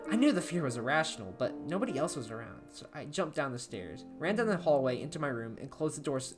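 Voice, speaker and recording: Expressive low-voiced woman reading horror. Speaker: amateur horror story reader. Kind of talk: dramatic first-person creepypasta narration. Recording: soft guitar music behind the voice. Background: plucked guitar music.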